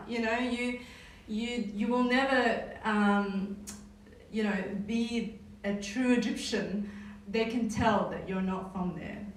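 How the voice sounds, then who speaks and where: A woman's voice: fairly high pitch, a little hiss or hum. A woman with a New Zealand accent leading a casual Bible study, talking in a conversational way with frequent "right?" tags. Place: church hall. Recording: echoey hall, mic far off.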